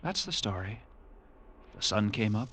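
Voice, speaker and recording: An actor playing a haunted lighthouse keeper, narrating an old radio drama with intense, halting delivery and suspenseful pauses. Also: male voice, lowish voice, expressive, a bit bright, a little hiss or hum. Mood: hushed suspense. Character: haunted male lighthouse keeper recounting a grim ordeal.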